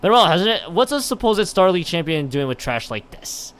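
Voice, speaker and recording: A man's voice: high-pitched, big pitch swings, fairly quick, a bit bright. A man voicing an arrogant, sneering male rival pro gamer in a visual novel, curt and contemptuous.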